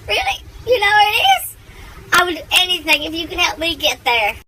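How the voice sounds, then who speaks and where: A woman's voice: very high-pitched, sing-song, brisk, sharp tone, slight background noise, heavily acted, poor audio. A woman voicing a lost, sad male storybook bunny with a folksy, plaintive way of talking. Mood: lost, pleading. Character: lost sad male bunny, whiny country delivery rabbit.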